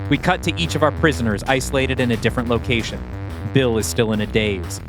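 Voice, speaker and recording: A man's voice: medium pitch, fast, over music, poor audio. A man hosting a podcast, reading scripted scene directions as the narrator of an audio play.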